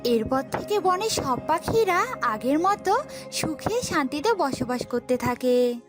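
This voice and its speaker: Woman, high pitch, slow storytelling, cartoon narration, music behind. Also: big pitch swings. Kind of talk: scripted children's cartoon narration.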